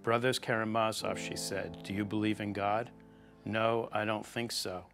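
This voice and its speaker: Male memoir reader, lower register, scripted audiobook narration over prominent guitar music. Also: level tone, moderate pace, vocal fry. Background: plucked guitar music.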